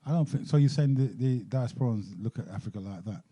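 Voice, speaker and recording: A man hosting a radio talk show, asking questions in a measured way, often halting and restarting. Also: mid-range voice, mellow, dry recording, lo-fi sound.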